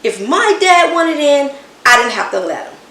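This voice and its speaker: A woman reading a children's story aloud with expressive, animated first-person storytelling. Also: high-pitched, normal speed. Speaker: storybook reader. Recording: roomy echo, faint background hiss.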